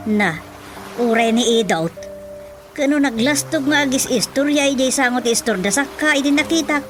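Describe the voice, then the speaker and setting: A woman's voice: sits high, lively intonation, moderate pace, soft background music. A woman playing a curious, gossipy village mother in an Ilocano radio comedy, with an Ilocano accent.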